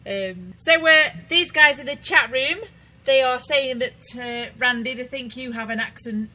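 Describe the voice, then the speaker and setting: A British woman co-hosting a casual internet radio talk show, chatty and quick to laugh. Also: high voice, pushed and bright, low-quality recording. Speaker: co-host.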